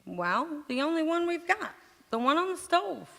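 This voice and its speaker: A woman's voice: high voice, big pitch swings, normal speed, vocal fry, fairly bright tone, exaggerated voice. A woman playing a breezy, naive, overconfident housewife in a vintage radio comedy on stage. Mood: innocent, earnest. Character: scatterbrained, cheerfully overconfident housewife in a radio sitcom. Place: large indoor hall, live stage performance. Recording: reverberant church hall stage recording.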